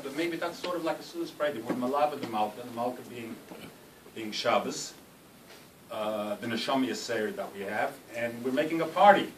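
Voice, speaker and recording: A man with a cold giving a rambling, self-deprecating farewell speech, full of halting restarts. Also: medium pitch. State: has a cold. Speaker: speaker at a farewell party. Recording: roomy hall, distant mic, noisy.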